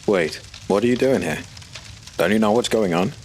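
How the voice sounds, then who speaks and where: A man's voice: fairly low pitch, moderate pace, a little mellow, slight background noise, pitched above the performer's own voice, natural voice. A man voicing an insistent Irish stranger in an audio drama, pressing with questions. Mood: urgent. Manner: urgent, questioning.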